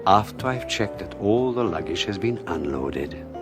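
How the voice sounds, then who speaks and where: An actor playing a suave, scheming man who plots with his lover, speaking in a silky, mocking, drawn-out way, in a 1980s radio drama. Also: deep voice, lively intonation, music in the background.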